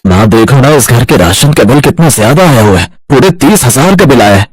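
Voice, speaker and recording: A man's voice: mid-range voice, lively intonation, a little fast, a little hiss or hum, natural voice. A man voicing the hearty grown son of a big family in a cartoon, exclamatory in manner. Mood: worried.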